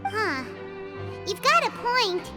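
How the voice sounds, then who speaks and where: A woman voicing a small, childlike fairy companion in a video game, squeaky, chirpy and blunt. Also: very animated, takes it slowly, exaggerated voice. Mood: curious. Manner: refers to self in third person, exclamatory. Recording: music behind the voice.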